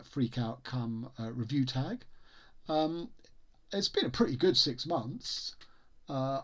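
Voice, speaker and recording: A man talking casually to camera as a book vlogger, in a hesitant, discursive way with halting phrases. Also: mid-range voice. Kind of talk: casual talk to camera about books.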